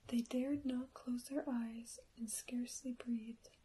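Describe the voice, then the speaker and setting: A woman reading a bedtime story for ASMR in a soft, breathy, careful whisper. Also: on the high side, level tone, takes it slowly.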